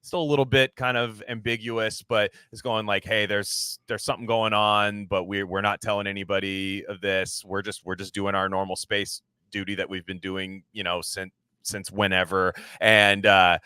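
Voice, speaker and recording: A man co-hosting a podcast, talking casually about film in a halting, rambling way and often slipping into imagined dialogue. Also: on the low side, level tone, pushed and bright, smooth, dry recording. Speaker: podcast co-host.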